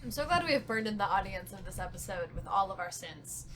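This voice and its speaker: A woman's voice: mid-range voice, animated, quick pace. A woman bantering casually on a podcast, making dry, wry jokes. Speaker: podcast panelist.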